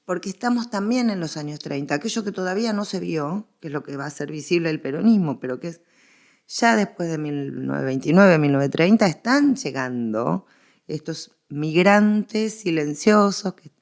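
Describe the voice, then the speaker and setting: An Argentine woman with a fairly low voice and a lot of vocal fry. She speaks as a guest historian in an interview, explaining things conversationally and repeating phrases for emphasis. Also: lively intonation, moderate pace.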